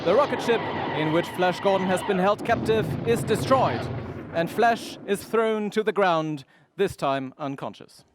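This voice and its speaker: A man's voice: high voice, lively intonation, a little slow, noisy background. A man playing the dramatic, suspenseful announcer of a 1930s-style radio adventure serial. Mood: excited, dramatic. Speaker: narrator.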